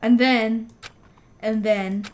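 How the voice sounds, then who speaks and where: A woman playing a shaken teenage student who narrates a mystery story in the first person, grave and earnest with dramatic pauses. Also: a little high, fairly even intonation.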